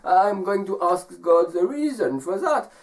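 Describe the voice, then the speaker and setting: A storyteller as an earnest, animated poor man. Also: medium pitch, unhurried, lightly acted. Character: miserable poor man, earnest and agreeable seeker.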